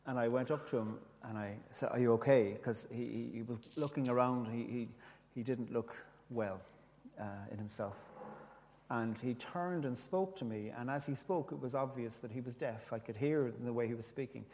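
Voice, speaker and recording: A man with an Irish accent, whose first language is Irish, gently telling a reflective story with restarts and reading his own poetry. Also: medium pitch, normal speed, in a large hall. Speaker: speaker, poet.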